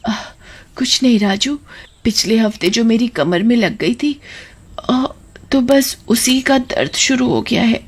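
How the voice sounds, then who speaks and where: Woman playing a gentle, self-sacrificing poor elder sister. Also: a little high, expressive, a little slow, slightly rough, full character voice. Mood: pained, hesitant. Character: poor, selfless young elder sister with back pain.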